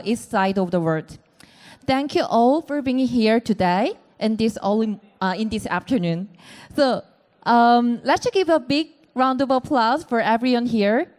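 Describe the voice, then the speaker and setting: A woman with a Korean accent moderating a conference panel, speaking with frequent fillers and hesitations. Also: fairly high pitch. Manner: hesitant, many fillers, light laughs. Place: conference venue resembling a bar.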